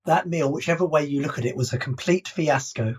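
A man's voice: on the high side, brisk, slightly rough. A man co-hosting a podcast in casual discussion, reading out excerpts from an old radio script. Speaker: podcast co-host.

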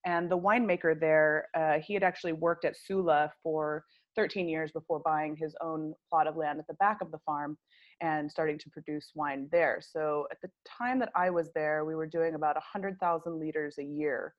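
A woman's voice: low voice, steady tone, moderate pace, muffled recording. A woman in her thirties with an American accent, chatting casually as an interview guest with plenty of ums and uhs. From United States. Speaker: wine industry guest.

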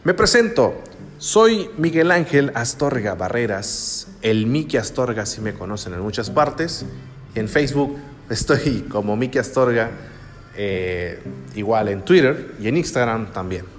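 A young man with a Sinaloan Mexican accent talks in a friendly, easygoing way, circling back with fillers and small laughs. His voice sits at an ordinary pitch for a man. The recording has a long echo, with faint music underneath. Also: expressive, a little slow. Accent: northwestern Mexican Spanish (Sinaloa). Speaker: podcast host, radio announcer. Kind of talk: casual first-person podcast chat.

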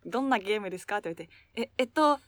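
A woman's voice: mid-range voice, expressive, racing, no room sound. A woman chatting casually as a podcast co-host, hesitant and self-deprecating. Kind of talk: casual chat.

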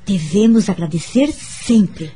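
A woman's voice: mid-range voice, expressive, slow pace. An actress playing a kind, wise Brazilian woman who earnestly counsels a friend in a radio drama. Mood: earnest, consoling. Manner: earnest, gently instructive. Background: background music.

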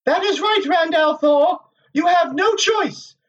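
Man voicing a high, commanding sorceress. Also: takes it slowly, far from the mic, dull audio. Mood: stern warning. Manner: commanding, cutting. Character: serene, severe sorceress with a lashing voice.

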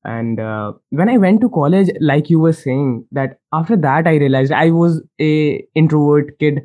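A man's voice: a little high, normal speed, warm tone. Chatty man from India interviewing a guest. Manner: friendly, chatty, laughs between phrases. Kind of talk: casual interview chat.

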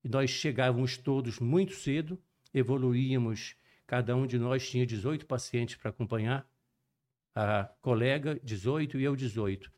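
An older man with a mixed Minas Gerais and Rio Brazilian accent, a cardiologist guest telling his story in a measured, detailed, professorial way. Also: mid-range voice, a little mellow. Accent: mixed Brazilian Portuguese, Minas Gerais and Rio de Janeiro. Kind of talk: relaxed storytelling interview.

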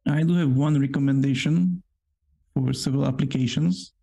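A man's voice: medium pitch, fairly even intonation, normal speed, vocal fry, dark tone. A man with a Polish accent, a non-native English speaker, co-hosting a casual work podcast and explaining at length with many fillers. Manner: rambling, filler-heavy explaining. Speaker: podcast co-host. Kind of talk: casual chat.

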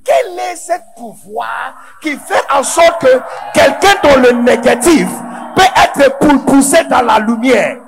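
A man from Ghana with English as his first language, preaching a sermon in French to a large conference, going from quiet asides to shouted emphasis. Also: extremely high pitch, animated, takes it slowly, vocal fry, hardly any pauses, music in the background. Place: large church conference hall.

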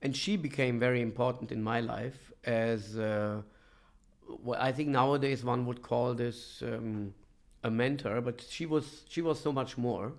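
A middle-aged man from Germany telling his story as an interview guest, with halting, thoughtful pauses. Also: mid-range voice, gravelly. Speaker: podcast guest, professor and coach. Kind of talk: reflective conversational interview storytelling.

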